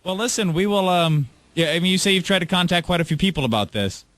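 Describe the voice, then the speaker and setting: A man hosting a live call-in radio show, talking with a listener in a patient, polite, measured way. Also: sits high, lively intonation, a bit bright, no echo. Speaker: radio DJ host. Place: radio studio.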